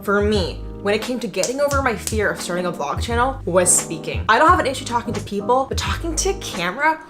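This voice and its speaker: Young female vlogger, mid-pitched, chatty and slangy, emphatic tutorial delivery, faint music underneath. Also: animated, fast talker, hardly any pauses. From Indonesia. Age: young adult. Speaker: vlogger and content creator. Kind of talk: casual scripted-feel tutorial vlog to camera.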